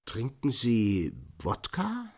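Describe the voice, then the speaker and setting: An actor in a German radio play voicing a brusque, puzzled medical professor who questions and orders with authority. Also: male voice, mid-range voice, wildly swooping pitch, drawn-out words, a little mellow, husky, heavily acted. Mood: puzzled, skeptical.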